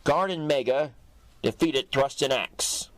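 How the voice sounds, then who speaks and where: A man's voice: medium pitch, takes it slowly, no echo, low-quality recording. A man reading scripted third-person narration for a dramatic superhero audio story.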